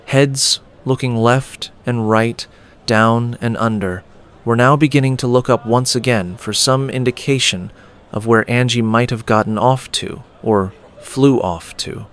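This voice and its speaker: A man reading a dramatic scripted story as a storyteller, with deliberate, theatrical phrasing. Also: mid-range voice, fairly even intonation, fairly bright tone. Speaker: storyteller and host.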